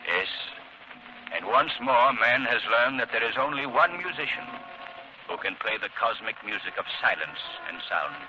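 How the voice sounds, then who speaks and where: An actor playing a calm, authoritative crime-fighting hero, measured and soothing, in 1930s radio drama style. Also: male voice, mid-range voice, lo-fi sound. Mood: solemn. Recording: noisy old 1930s radio recording.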